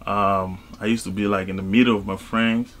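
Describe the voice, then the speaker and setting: A man from Cameroon, talking casually as an interview guest in a halting way, with frequent asides. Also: fairly low pitch, level tone, a bit bright, slight background noise. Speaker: interview guest, music artist and label executive.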